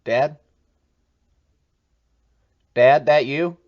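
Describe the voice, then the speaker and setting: An actor playing a casual, eager small-town teenage son in an audio drama, talking colloquially. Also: male voice, medium pitch, extremely slow, darkish tone. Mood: uneasy. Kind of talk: horror audio drama dialogue. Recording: muffled audio with faint background noise.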